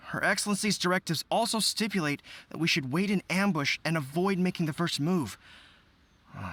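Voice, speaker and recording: A man's voice: high-pitched, pushed and bright, no echo, exaggerated voice. An actor playing an earnest, by-the-book young general in a video game who plans carefully and talks through his tactics.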